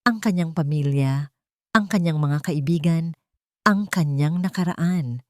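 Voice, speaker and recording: A wealthy, commanding matriarch from a romance story, as a woman narrator reads her. She gives orders in a fairly low, calm and steely voice. The recording is dry and close. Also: unhurried, no room sound. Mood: cold command.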